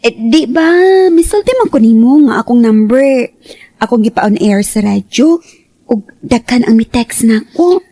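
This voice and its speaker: Hesitant young-sounding housewife, Central Visayan accent, high husky voice, close dry radio drama sound. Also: female voice, expressive, a little slow, dark tone, no echo. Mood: hesitant, coaxing. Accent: Central Visayan Filipino. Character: Jean, naive, hesitant married mother with phone textmates. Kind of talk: Cebuano radio drama acting.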